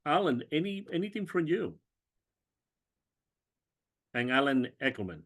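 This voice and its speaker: A man's voice: fairly high pitch, big pitch swings, a little slow, fairly bright tone. A man from Peru moderating a web briefing over a video call, courteous and thanking each speaker. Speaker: committee chair moderating.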